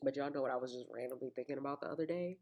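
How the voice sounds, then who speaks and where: A woman's voice: rumbling low, racing, a little mellow. A woman hosting her own podcast in a casual, chatty way, full of asides to listeners and still a little congested. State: slightly congested with a cough. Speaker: podcast host. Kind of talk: casual solo podcast chat.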